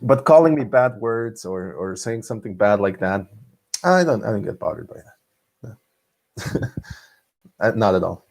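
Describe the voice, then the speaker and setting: A young man from Serbia with a Serbian accent, telling stories in a casual solo vlog, restarting often and swinging his pitch up and down. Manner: animated, frequent restarts and laughs, pitch swinging widely. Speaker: vlogger host. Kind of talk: casual monologue storytelling.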